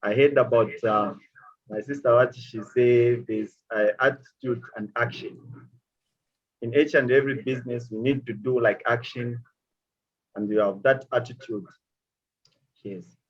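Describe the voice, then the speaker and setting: A man from Zambia with a Zambian accent, speaking halting, grateful remarks to a speaker with many pauses. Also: medium pitch, fairly even intonation, breathy edge. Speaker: audience member asking questions. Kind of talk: casual spoken remarks. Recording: dull lo-fi call audio.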